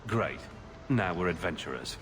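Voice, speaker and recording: Easygoing fantasy hero, game dialogue. Low, dry wit, lively, roomy echo. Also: male voice, normal speed, soft background music. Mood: wry. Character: wry, easygoing adult male fantasy adventurer hero. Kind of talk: video game dialogue acting.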